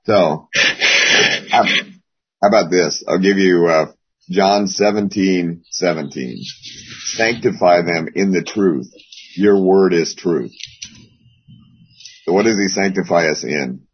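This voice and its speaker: Hoarse low-voiced man teaching. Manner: rambling, with many pauses. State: hoarse, losing his voice. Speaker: Bible class teacher. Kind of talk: casual Bible class teaching. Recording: dull lo-fi audio.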